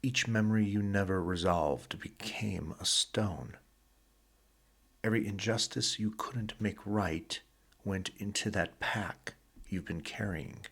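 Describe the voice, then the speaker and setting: Deep voiced guy doing sleep hypnosis, really slow n soothing, kinda breathy husky, pauses between phrases. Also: fairly bright tone. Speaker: hypnotist, guided session narrator. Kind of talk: sleep hypnosis, slow guided meditation narration.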